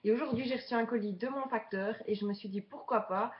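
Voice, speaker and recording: A woman's voice: fairly high pitch, fast, muffled recording. A woman of twenty-seven from Belgium, chatting casually to viewers as a beginner vlogger during an unboxing. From Belgium, Charleroi region.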